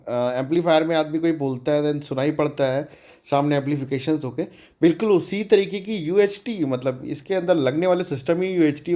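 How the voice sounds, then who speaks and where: Chatty man, higher-pitched voice. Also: warm tone. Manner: chatty, colloquial, mixes English terms into speech. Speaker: DIY electronics channel host. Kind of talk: informal tutorial demonstration.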